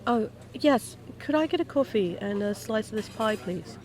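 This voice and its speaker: A woman acting in an intimate audio roleplay as a kind, gently teasing private eye, talking softly-concerned and conversational to a stranger. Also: medium pitch, lively intonation, normal speed, lightly acted. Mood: polite, friendly. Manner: soft-hearted, hesitant, questioning, playful asides.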